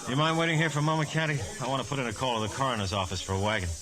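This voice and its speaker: An actor playing a brisk, matter-of-fact male police detective in a 1940s radio drama. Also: mid-range voice, lively intonation, natural voice. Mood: businesslike. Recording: hissy 1949 radio recording.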